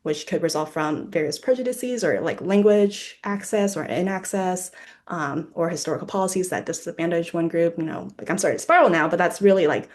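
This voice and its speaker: A woman's voice: on the low side, a little fast, a little mellow. A young woman from Ohio with an American accent, reflecting informally on personal experience as a panelist, often saying "like". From Ohio, United States. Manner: informal, frequent "like" as a filler.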